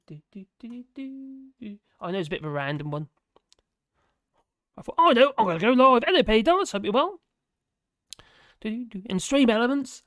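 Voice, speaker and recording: A hoarse live streamer, rambling in casual chat to viewers and making fun of themselves. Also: mid-range voice, dry recording, clean studio sound. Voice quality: rambling, self-deprecating.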